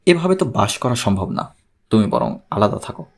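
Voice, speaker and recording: Troubled, courteous gentleman for an audiobook male reader, grave and deliberate, low-pitched with wide intonation. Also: very animated, vocal fry. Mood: grave, confiding. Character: troubled, courteous man recounting his strange marriage.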